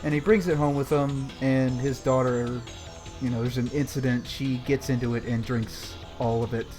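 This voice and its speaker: A man with a mid-pitched voice retells a story casually, as a co-host would. His delivery is wry and colloquial, and music plays clearly behind him. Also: fairly even intonation, normal speed. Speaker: podcast co-host.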